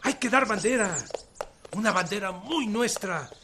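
A mexican revolutionary general, higher male voice, folksy n earnest, radio play, noisy background. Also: expressive, a little slow. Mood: resolute. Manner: folksy country speech, plain-spoken. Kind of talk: radio drama acting.

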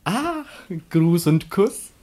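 Tipsy, cheeky young gigolo. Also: male voice, high-pitched, big pitch swings, drawn-out words, dark tone, heavily acted. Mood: tipsy teasing. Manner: mock-tender, teasing. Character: cheeky, tipsy young gigolo taunting his old lover. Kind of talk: classical comedy radio play acting.

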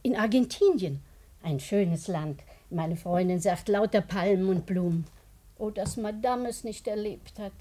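An actress in a 1950s German radio drama playing a loyal, sentimental older housekeeper who gossips and laments in a confiding way. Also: female voice, medium pitch, expressive, measured pace, warm tone. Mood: confiding, nostalgic.

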